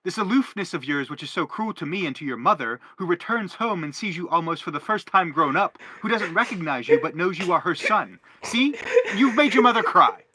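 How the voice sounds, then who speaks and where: A man's voice: high-pitched, lively intonation, brisk, hardly any pauses, sharp tone, slightly rough, dry recording, exaggerated voice, poor audio. A man playing a self-justifying middle-aged father in a stage play, philosophizing earnestly in a wordy, declamatory way. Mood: defensive. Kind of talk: theatrical stage-play reading.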